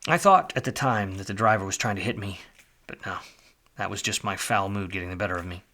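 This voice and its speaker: A man's voice: lowish voice, brisk, bright, forceful tone, slight background noise. An actor playing a world-weary, wisecracking private detective in a film noir radio play, with a wry, slangy tough-guy delivery. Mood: brooding, wry. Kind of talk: film noir radio play, first-person narration and dialogue.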